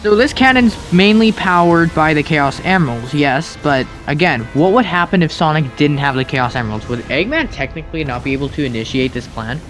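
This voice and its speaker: A man's voice: on the high side, expressive, fairly quick, hardly any pauses, music in the background. A man giving mocking, nitpicking commentary on game cutscenes in an incredulous, sarcastic manner. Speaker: video commentator.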